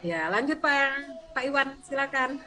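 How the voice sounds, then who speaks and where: A woman lecturer examining a candidate over a video call, teasing and lively, laughing often. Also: high voice, moderate pace, soft background music. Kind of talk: online exam interview.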